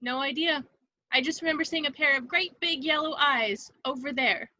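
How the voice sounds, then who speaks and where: A woman's voice: high-pitched, moderate pace, pushed and bright, lo-fi sound. A woman playing the mournful ghost of a teenage girl in a script read, plaintive and dramatic. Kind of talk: amateur table read of a film script.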